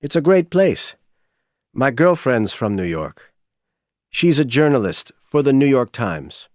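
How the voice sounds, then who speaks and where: A man's voice: mid-range voice, sing-song, measured pace, a little mellow, dry recording, clean studio sound. A man from Argentina, introducing himself in a plain, friendly way. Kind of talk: scripted self-introduction.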